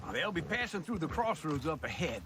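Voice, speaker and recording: An actor playing a cocky, grumbling old outlaw in a video game, boastful and folksy with an American accent, over loud outdoor noise. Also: male voice, fairly high pitch, very animated, fairly quick, music in the background. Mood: impatient. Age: older. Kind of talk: video game cutscene acting.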